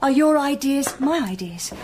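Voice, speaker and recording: A woman's voice: high voice, lively intonation, normal speed, warm tone, a little hiss or hum. Earnest, probing British lady. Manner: earnest, questioning, articulate. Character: determined, probing young married lady detective. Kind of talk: radio drama acting.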